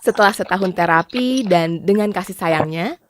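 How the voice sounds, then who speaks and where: A woman's voice: on the low side, moderate pace. A woman narrating a scripted first-person story in a dramatic storytelling style. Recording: clearly noisy background with clicks.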